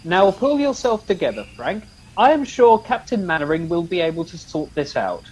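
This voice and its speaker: A man's voice: high-pitched, sing-song, moderate pace, darkish tone, husky, exaggerated voice, low-quality recording. A man playing a mild, genteel second-in-command in a comedy radio play, polite and vague. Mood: mildly concerned.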